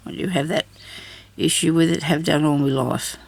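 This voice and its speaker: An older woman with a deep, raspy voice, chatting casually and laughing at herself while she does craft work. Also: steady tone, moderate pace, a little mellow, no echo. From Australia. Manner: chatty, self-deprecating, laughs often. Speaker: craft vlogger.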